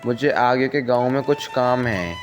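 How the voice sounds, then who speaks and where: A reserved male traveller played by a man narrating speaks in a matter-of-fact way. The voice is medium in pitch, set in a cartoon moral tale with music clearly underneath. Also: moderate pace, lightly acted. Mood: calm. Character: reserved stranger, travelling man on village road. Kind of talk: animated moral story dialogue. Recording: music behind the voice.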